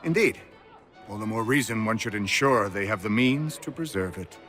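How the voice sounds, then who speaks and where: An actor playing a cynical, mercenary colonial physician in a video game, arguing in a theatrical, emphatic, rhetorical way. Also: male voice, on the low side, lively intonation, moderate pace, fairly bright tone, soft background music. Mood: self-justifying. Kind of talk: video game cutscene acting.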